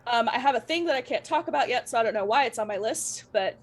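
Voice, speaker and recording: A woman's voice: fairly high pitch, lively intonation, fast. A woman guest on a livestream, chatting casually in a gushing, playful, informal way. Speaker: guest performer and streamer.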